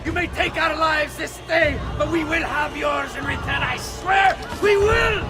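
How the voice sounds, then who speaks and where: An actor playing a defiant condemned father in a video game, loud and impassioned as he denounces his betrayer. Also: very high voice, a little slow. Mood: defiant, outraged. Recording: loud crowd and music over voice.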